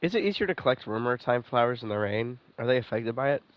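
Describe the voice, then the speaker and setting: A man's voice: mid-range voice, expressive, fast, low-quality recording. A man giving casual let's play commentary as a gaming streamer. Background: game music.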